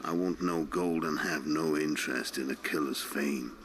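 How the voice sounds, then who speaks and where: A huge, cold-blooded assassin from a video game. The voice is extremely deep and creaky. He speaks calmly and ominously, with clear noise behind him. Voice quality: gravelly. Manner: measured, ominous. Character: huge, menacing, calm kingslaying assassin.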